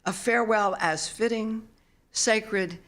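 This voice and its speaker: A mature woman reading a literary memoir at a live event in an expressive, solemn storytelling manner. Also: mid-range voice, takes it slowly. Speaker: author reading own work. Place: theater stage, live reading.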